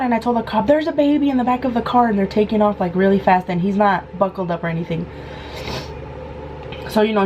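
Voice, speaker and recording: Woman, hoarse, animated storytelling, mid pitch, faint background noise. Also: low-quality recording. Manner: animated, acts out quoted lines. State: hoarse from a sore throat. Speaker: vlogger. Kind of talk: casual storytime.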